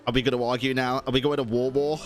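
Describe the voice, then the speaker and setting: A man's voice: medium pitch, flat delivery, fast. A man live-streaming a video game, informally reacting aloud and chatting to his viewers. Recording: game music behind the voice.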